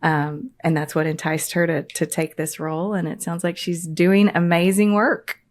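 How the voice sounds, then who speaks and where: Deep-voiced woman co-host. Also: flat delivery, normal speed. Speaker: podcast co-host. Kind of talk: introducing a guest.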